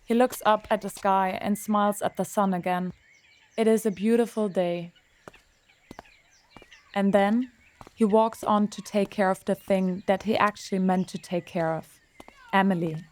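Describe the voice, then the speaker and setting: Calm woman narrating a story. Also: medium pitch, unhurried, pauses often. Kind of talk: scripted audiobook narration.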